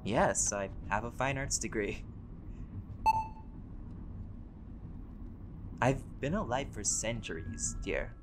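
A man playing a laid-back, centuries-old vampire scholar in an audio roleplay, talking in a relaxed, conversational and wryly playful way. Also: mid-range voice, moderate pace, lightly acted. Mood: easygoing, matter-of-fact. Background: music.

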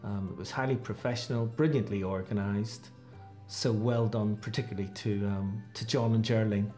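A man with a fairly low voice answers an interview question. Plucked guitar music plays behind his words. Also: fairly even intonation, normal speed, a little mellow.

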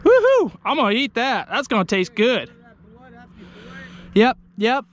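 A young man, chatting casually to his viewers from a small boat on a lake, getting excited when fish bite. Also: very high-pitched, a little slow. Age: young adult. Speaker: fishing vlogger. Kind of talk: casual outdoor vlog commentary. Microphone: action camera on the boat.

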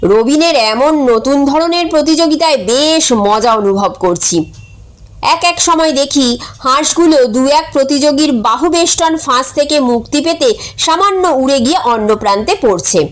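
A woman's voice: high voice, animated, normal speed. A woman reading a humorous short story aloud as a scripted narration.

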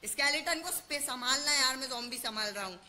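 A man's voice: very high-pitched, a little fast, heavy background noise. A young man hosting a gaming channel, chatting with a friend during play and then talking to viewers in a rambling, self-correcting way. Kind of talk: gameplay chatter and casual narration to viewers.

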